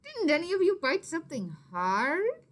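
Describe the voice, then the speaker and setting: A woman's voice: very high-pitched, wildly swooping pitch, moderate pace, pitched above the performer's own voice, heavily acted. A woman voicing an anxious, guilt-stricken young girl in an exclaiming, wailing manner for a storybook. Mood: worried, alarmed.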